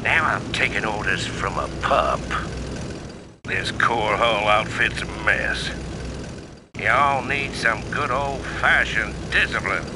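An actor playing a gruff, impatient veteran space general with a Southern US accent, stern and folksy, in game unit lines. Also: male voice, fairly low pitch, slow, background noise. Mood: gruff impatience.

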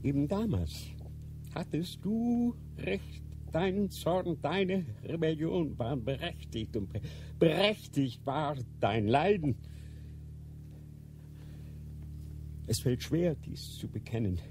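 An actor playing an aged, ailing Grand Inquisitor in a radio drama, solemn and halting, declaiming with remorse and fervor that rises to outcries. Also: male voice, on the high side, lively intonation, music in the background. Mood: solemn confession. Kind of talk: German radio drama acting.

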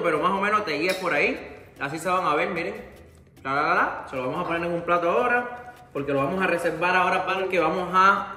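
A man's voice: a little high, bright, forceful tone, echoey room. A young man from Cuba with a Cuban Spanish accent, chatting casually to viewers as he demonstrates a recipe in his home kitchen. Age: young adult. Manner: chatty, addresses viewers directly, mixes in English "so" and "ok". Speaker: cooking show host.

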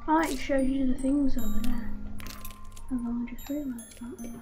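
A woman's voice: high voice, fairly even intonation, moderate pace, dark tone. A woman co-playing a game, asking short hesitant questions as she learns the controls. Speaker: co-player. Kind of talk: casual gameplay chat. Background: game music.

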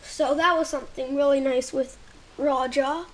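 A woman casually reviewing toys at home, halting and searching for words, sometimes dropping to a near whisper while handling pieces, recorded on a handheld camera held close. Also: high voice, steady tone. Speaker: toy reviewer.

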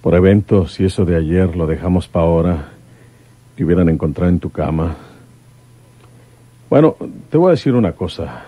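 An actor playing a plain-spoken, protective country detective in a radio drama, with a husky voice and rural northern Mexican accent. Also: male voice, low voice, lively intonation, normal speed, pauses often, warm tone. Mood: reassuring. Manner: folksy country talk.

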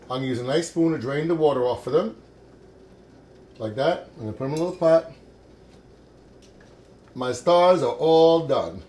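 A man's voice: on the high side, animated, moderate pace, pauses often, darkish tone. A middle-aged man hosting a cooking show in a home kitchen, chatty and joking as he demonstrates a recipe.

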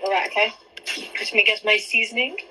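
A woman's voice: mid-range voice, fairly even intonation, a little fast, noisy background. A woman, hosting a live-stream cooking session from her home kitchen and asking her guest lots of questions while she cooks. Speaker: host. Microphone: phone camera at arm's length.

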